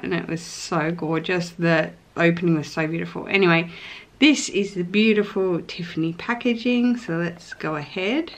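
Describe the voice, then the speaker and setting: A woman in her thirties with an Australian accent, chatting casually and gushingly to camera for a vlog at home. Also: on the low side. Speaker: vlogger. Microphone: camera at arm's length or on table.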